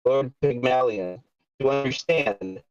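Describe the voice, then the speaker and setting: A man's voice: mid-range voice, slow, dry recording, close to an everyday voice. Man reading a pleading herald, muffled call audio. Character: pleading, diplomatic herald addressing lords. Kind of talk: screenplay table read. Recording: dull, glitchy online voice call, faint music.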